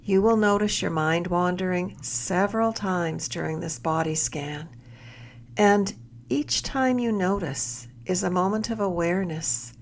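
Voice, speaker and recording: A woman leading a guided meditation as a mindfulness mentor, with gentle, measured instruction and soft, breathy phrase endings. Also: lowish voice, slight background noise.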